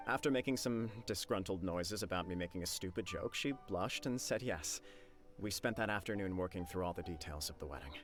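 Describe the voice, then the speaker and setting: Earnest low-voiced young suitor, played by a man. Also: a little fast, natural voice. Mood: fondly reminiscing. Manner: earnest, polite, long-winded. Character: earnest, lovestruck young warrior groom-to-be, polite and talkative. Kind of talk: game character acting. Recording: faint music behind the voice.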